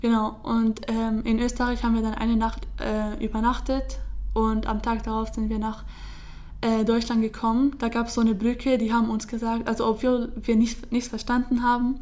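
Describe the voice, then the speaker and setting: A woman's voice: fairly high pitch, flat delivery, moderate pace, a little mellow. A young woman from Syria, telling her story in German with many fillers and restarts. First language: Kurdish. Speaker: interviewee. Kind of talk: casual personal account.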